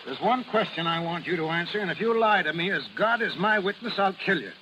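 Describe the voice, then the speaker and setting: High-pitched, bitter carnival barker father. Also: expressive, fairly quick. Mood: furious. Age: middle-aged. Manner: rough slangy speech, forceful. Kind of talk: 1930s radio drama acting. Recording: noisy old 1930s radio recording.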